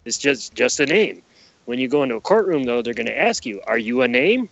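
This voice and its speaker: A man's voice: medium pitch, big pitch swings, fairly quick, gravelly, a bit bright. Emphatic man, dull lo-fi recording. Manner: emphatic, repeats key phrases, poses rhetorical questions. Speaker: podcast guest. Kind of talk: conspiracy-minded explanatory interview talk. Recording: dull, lo-fi sound.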